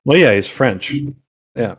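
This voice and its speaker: A man's voice: medium pitch, lively intonation, unhurried, breathy edge. A man in casual chat on a stream panel, offhand and joking in manner.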